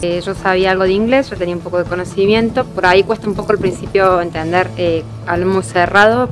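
Young woman, calm measured talk, outside by a hedge, faint music. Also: lowish voice. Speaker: working holiday traveler. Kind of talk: casual testimonial to camera. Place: outdoors by a hedge.

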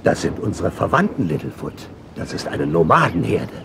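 An actor playing a kindly old grandfather dinosaur with a gravelly voice in a children's cartoon audio drama. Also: low voice, big pitch swings, normal speed, background noise, exaggerated voice.

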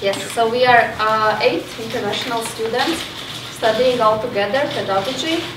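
A woman's voice: on the high side, moderate pace. A young woman student opening a presentation by introducing her group to an audience. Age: young adult. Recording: roomy far-off hall recording, noisy.

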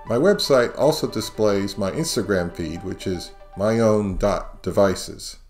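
Middle-aged man narrating a scripted review. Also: on the low side. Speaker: audio review channel host.

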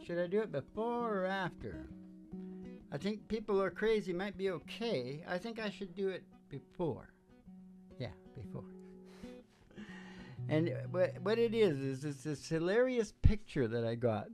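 A man's voice: sits high, animated, unhurried, over music. An older man hosts from a small stage, chatting into a microphone between songs. He rambles casually and philosophizes in an irreverent, profane way. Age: older, about 60. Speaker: singer and show host. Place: small dark curtained stage, indoors. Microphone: stage microphone on a stand.

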